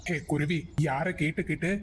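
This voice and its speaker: Cartoon crow, played by a man: menacing bully, higher-set male voice, faint noise behind. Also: fairly even intonation, moderate pace, lightly acted. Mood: threatening. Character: bossy, threatening male crow, greedy for grain. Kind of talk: cartoon moral-story voice acting.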